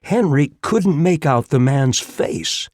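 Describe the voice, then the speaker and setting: A man's voice: a little high, expressive, takes it slowly, darkish tone, dry recording, studio quality. A man reading a western novel as scripted audiobook narration.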